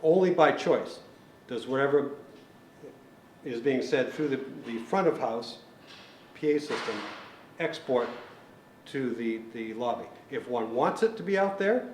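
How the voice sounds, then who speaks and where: A man's voice: mid-range voice, expressive, a little slow, long pauses, darkish tone. Guy doing a tech walkthrough in a big echoey room, mic kinda far off, plain and methodical explaining. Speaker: technician instructor. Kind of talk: hands-on equipment tutorial. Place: large hall. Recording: roomy echo, distant mic, faint noise.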